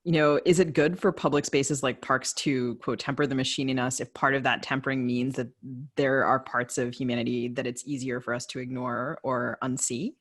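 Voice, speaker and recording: A man interviews a guest as a podcast co-host. His pitch sits in the middle for a male voice. He talks casually and frames his questions conversationally. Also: little pitch movement, normal speed, few pauses, fairly bright tone.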